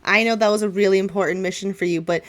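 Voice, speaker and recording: A woman's voice: mid-range voice, fairly even intonation, fast, lightly acted. Woman voicing a sassy, vain little talking bird. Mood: sarcastic. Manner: sarcastic, taunting.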